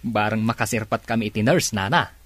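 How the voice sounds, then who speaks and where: A man's voice: on the low side, brisk, fairly bright tone, slight background noise, close to an everyday voice. A young man acting a chummy workmate in an Ilocano radio drama. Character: chummy young workmate, keen on food and girls.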